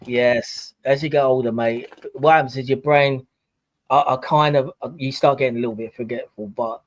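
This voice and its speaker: A man's voice: medium pitch, steady tone, moderate pace, no room sound. A man in his forties, giving life advice in a casual livestream chat, preachy and fond of sayings. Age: 40s. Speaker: guest on a livestream.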